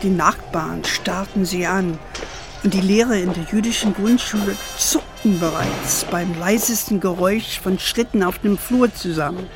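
A woman's voice: mid-range voice, music in the background, natural voice. An actress playing an older grandmother with an American-tinged German accent, tenderly telling her family history in a children's radio play. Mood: grave storytelling. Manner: measured, tender, sprinkles in English endearments. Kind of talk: gentle bedtime storytelling in a children's radio play.

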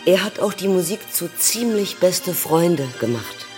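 A man's voice: high voice, expressive, unhurried, a little mellow, faint music behind. An actor playing a polite, informative male commentator in a radio drama, speaking in an explanatory way. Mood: politely informative.

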